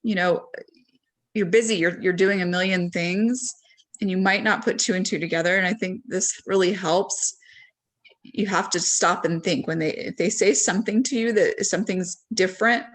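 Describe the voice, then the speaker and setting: Lower-voiced woman on a video call panel, casual, lots of ums, trails off softly. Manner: conversational, many ums and you-knows, trails off quietly. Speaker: panelist and parent.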